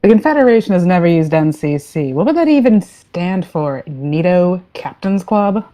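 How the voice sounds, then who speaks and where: Low female voice, actress as jaded spaceship captain, dry, deadpan, no-nonsense, sci-fi comedy play. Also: normal speed, close to an everyday voice. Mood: businesslike.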